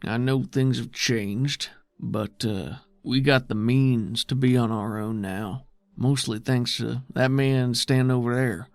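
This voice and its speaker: A man playing a bitter, worried, steadfast man defending his underground city in a tabletop game, with gruff folksy speech. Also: mid-range voice, steady tone, takes it slowly, no echo. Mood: resigned, bitter.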